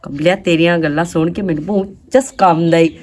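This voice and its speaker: A woman's voice: on the low side, steady tone, moderate pace, darkish tone, a little hiss or hum, natural voice. Woman playing a coy, bossy village girl. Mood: wistful, teasing. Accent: Pakistani Punjabi. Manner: teasing, scolding, colloquial. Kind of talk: rural comedy skit acting.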